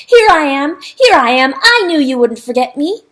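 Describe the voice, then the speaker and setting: A woman's voice: high voice, very animated, moderate pace, fairly bright tone, pitched above the performer's own voice. A woman voicing a proud, desperate male rooster who screams and pleads. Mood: excited. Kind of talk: audiobook character voice.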